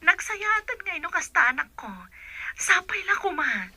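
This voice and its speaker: A woman playing a tender, worried middle-aged mother in a radio drama, with a gentle, plaintive delivery. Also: extremely high pitch, big pitch swings, normal speed, no echo, heavily acted.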